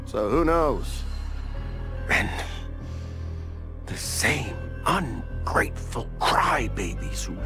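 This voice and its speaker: An American actor playing a narcissistic, petulant superhero with menace in a video game, sarcastic and theatrical. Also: male voice, a little high, full character voice. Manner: sarcastic, theatrical, self-pitying. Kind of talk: scripted video game ending monologue.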